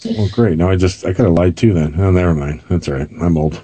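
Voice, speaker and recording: Fifties male co-host, very low voice, jokey banter. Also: quick pace, warm tone. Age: 50s. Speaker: radio show co-host. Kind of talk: casual radio talk show chat.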